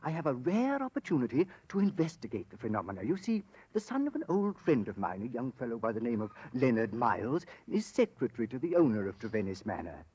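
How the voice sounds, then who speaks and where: Animated, husky occult investigator. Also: male voice, mid-range voice, fast talker, few pauses. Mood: eager storytelling. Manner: theatrical, emphatic, genteel. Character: eager, courtly male occult investigator. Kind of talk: 1940s radio acting. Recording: dull, dry old radio recording.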